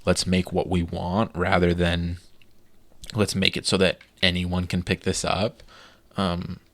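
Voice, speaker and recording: A man's voice: deep voice, completely flat delivery, a little slow, gravelly, dry recording. A man co-hosting a podcast, chatting casually and enthusiastically with many fillers. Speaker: podcast co-host. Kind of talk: casual chat.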